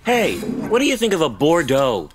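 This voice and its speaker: A man's voice: a little high, huge pitch swings, normal speed. A man acting in an audio play as an easygoing, unflappable middle-aged father with a mild, chatty, placid manner. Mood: genial.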